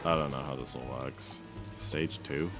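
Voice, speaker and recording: A slightly hoarse young man streaming a speedrun, chatting casually and rambling with lots of fillers. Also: very deep voice, steady tone, a little slow. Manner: rambling, laid-back, many fillers. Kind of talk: casual live-stream chat. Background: game music.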